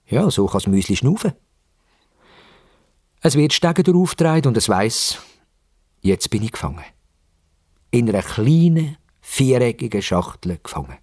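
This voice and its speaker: A male storyteller with a Swiss German accent narrates for young children. His delivery is expressive and suspenseful, swinging widely in pitch around an average male register. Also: husky, no room sound, studio quality. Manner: expressive, suspenseful, with repetitions for effect.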